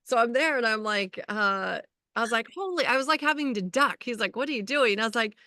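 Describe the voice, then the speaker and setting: A woman's voice: fairly high pitch, animated, a little fast, bright, forceful tone, no room sound, studio quality. A middle-aged woman co-hosting a spiritual talk show, telling stories in a casual, rambling way. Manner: rambling, anecdotal storytelling. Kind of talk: casual conversational chat.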